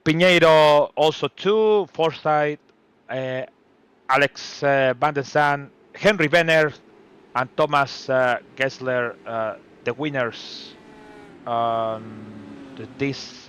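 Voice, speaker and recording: Male color commentator, slow, halting delivery, smooth clear voice. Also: mid-range voice, a bit bright. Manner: hesitant, many fillers, searching for words. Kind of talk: sim racing broadcast commentary.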